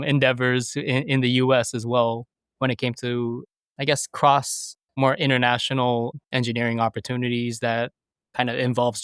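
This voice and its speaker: Smooth-voiced man in his thirties. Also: medium pitch, a bit bright, no echo, studio quality. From United States. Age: 30s. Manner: thoughtful, hesitant, many fillers and restarts. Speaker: podcast guest. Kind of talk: conversational interview.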